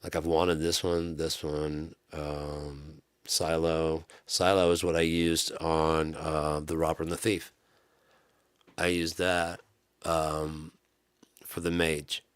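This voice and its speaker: A man with a deep, bassy voice rambling loosely to his channel's viewers, with lots of restarts and swearing. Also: no echo. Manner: loose, profane, meandering with many restarts. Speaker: channel host. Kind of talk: rambling casual vlog.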